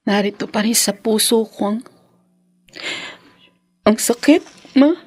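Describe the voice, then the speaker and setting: Hurt filipino wife in a radio soap, slow n husky, sighing, emotional. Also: female voice, on the high side, no room sound, heavily acted. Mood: hurt, doubtful. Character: hurt, forgiving young wife and mother. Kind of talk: Filipino radio drama acting.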